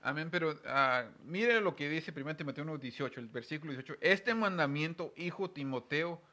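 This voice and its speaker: A man's voice: on the high side, lively intonation, fairly quick, pushed and bright. A man hosting a religious programme, teaching the Bible conversationally in an earnest, explanatory way and stressing key words.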